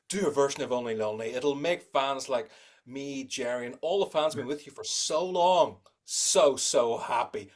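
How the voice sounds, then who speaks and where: A man appearing as a podcast guest, chatting casually and earnestly. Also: medium pitch. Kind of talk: casual fan chat.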